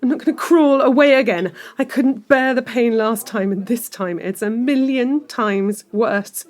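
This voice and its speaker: A middle-aged woman played by an actress with a high voice, speaking as a long-suffering lover in an audio drama. She is insistent and emotional, pleading in articulate phrases. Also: unhurried, close to an everyday voice. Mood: desperate insistence. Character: desperate pleading mistress, lovelorn and long-suffering.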